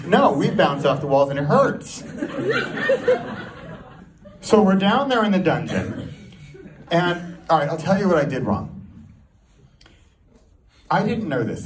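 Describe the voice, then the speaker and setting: A man performing a stand-up comedy set, telling a story in an animated way and throwing rhetorical questions at the audience. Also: fairly high pitch. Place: live comedy show before an audience. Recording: live room, distant mic, audience noise. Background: audience crowd and laughter.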